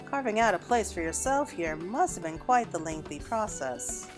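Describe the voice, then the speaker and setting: A woman voicing a composed, courteous, scholarly young game character with formal, measured phrasing. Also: mid-range voice, animated, soft background music, lightly acted. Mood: admiring.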